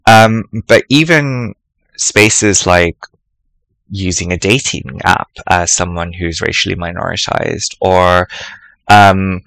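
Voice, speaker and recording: Deep-voiced man, thoughtful and measured. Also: creaky, fairly bright tone, dry recording, low-quality recording. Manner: thoughtful, measured, pauses often to choose words. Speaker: podcast presenter at an academic symposium. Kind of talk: reflective spoken essay.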